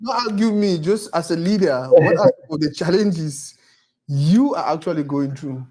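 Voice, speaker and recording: A man interviewing a guest in a relaxed, casual way, mostly giving short responses. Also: on the high side, moderate pace. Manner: relaxed, brief responses with frequent affirmations.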